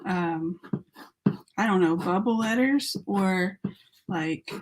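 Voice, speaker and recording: A woman with a sore throat, her voice starting to give out. She talks slowly and unhurriedly, chatting and thinking aloud as she works through a casual live painting tutorial. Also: medium pitch. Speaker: artist hosting a live painting stream.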